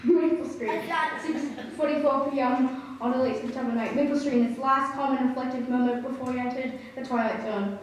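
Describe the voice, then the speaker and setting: A woman's voice: high-pitched, fairly even intonation, brisk, natural voice. A young woman playing the solemn narrator of an eerie stage radio play. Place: school stage performance. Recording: echoey hall, distant mic, faint noise.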